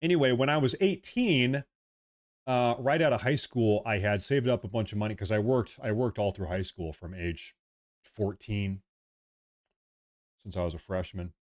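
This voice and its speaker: A young man from the United States hosts a loose livestream, talking straight to his viewers. His voice sits very low, and he rambles, circling back over the same phrases as he reads chat and fills time. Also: normal speed. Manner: rambling, repeats himself, reads chat aloud. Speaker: livestream host.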